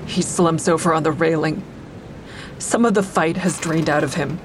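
A woman's voice: low voice, normal speed, a little hiss or hum, close to an everyday voice, low-quality recording. An actress playing a bitter, defiant woman who narrates a confrontation with her former partner in an audio drama. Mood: tense, steely. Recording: music behind the voice.

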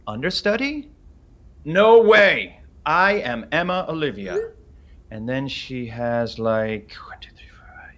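A man's voice: medium pitch, fairly bright tone. An actor playing a loyal, earnest leading man in an audio comedy, speaking in a reassuring way. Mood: amused. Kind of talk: audio comedy drama acting.

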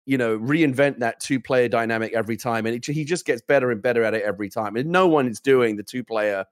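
A man co-hosting a casual gaming show, loud and enthusiastic, rambling with restarts. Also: medium pitch, fast talker, no room sound, clean studio sound. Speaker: co-host. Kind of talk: casual gaming news chat.

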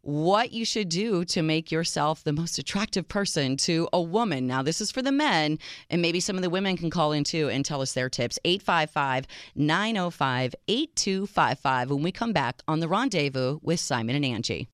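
Brief: low-voiced female radio co-host, smooth and dry studio sound, playful and upbeat. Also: moderate pace, no room sound. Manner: lively, playful, laughs easily. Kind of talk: casual radio talk show chat. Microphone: studio microphone.

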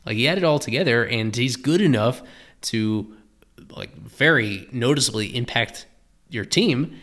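A man giving analytical commentary on a baseball pitcher, thoughtful and explanatory, often restarting his phrases. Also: fairly bright tone.

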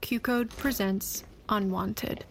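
A woman's voice: mid-range voice, steady tone, slow, vocal fry, a little hiss or hum. A woman reading scripted show announcements in an announcer's role. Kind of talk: scripted show advisory and credits.

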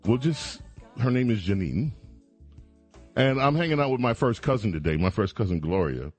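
A man's voice: mid-range voice, animated, normal speed, soft background music. A man hosting a talk radio show in a studio, chatting with guests in a sarcastic, mocking way. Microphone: studio microphone.

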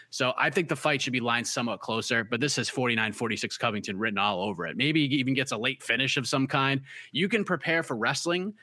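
A man's voice: medium pitch, bright, forceful tone, dry recording. A man with a light Boston accent, an MMA analyst giving emphatic casual fight picks and repeating his key points. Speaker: MMA analyst on a preview show.

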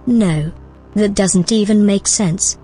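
Female actor as calm, playful helper in audio drama, medium pitch, composed delivery, light music underneath. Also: slow pace, close to an everyday voice. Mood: playful, helpful. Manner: composed, matter-of-fact.

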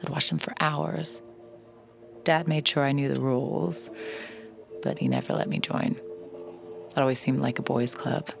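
Gravelly, sly woman card hustler. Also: very deep voice, fairly even intonation, measured pace, pauses often, over music, heavily acted. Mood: wry, confiding. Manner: wry, confiding, self-assured. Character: sly, cocky young woman poker hustler narrating her con. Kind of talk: first-person audio drama narration and dialogue.

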